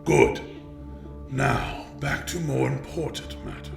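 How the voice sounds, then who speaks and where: A man playing an arrogant, imperious vampire lord in a fantasy audio drama, gravelly and menacing, speaking slowly with contempt. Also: medium pitch, music in the background. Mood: dismissive. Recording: roomy reverb.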